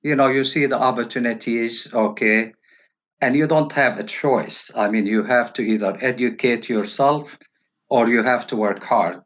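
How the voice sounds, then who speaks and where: A middle-aged man from Turkey answering interview questions casually as a guest, in halting, broken phrases. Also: medium pitch, a bit bright. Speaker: podcast guest, hairstylist. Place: virtual call.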